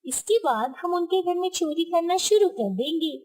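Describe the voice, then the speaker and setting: A woman's voice: extremely high pitch, animated, normal speed, a little mellow. A woman voicing an eager, sly young male crow child in a cartoon story.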